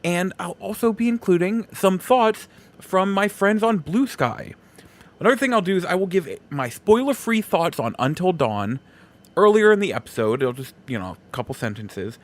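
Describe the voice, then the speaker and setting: A man's voice: high voice, lively intonation, moderate pace. A man hosting a podcast solo, giving opinions in a casual, conversational and emphatic way. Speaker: podcast host.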